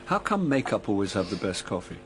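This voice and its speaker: An actor playing a wry, nosy middle-aged British amateur detective in a radio drama, speaking and narrating in a dry manner. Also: male voice, on the low side, sing-song, a little fast, background noise. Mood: breezy, teasing. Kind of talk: radio drama acting with first-person narration.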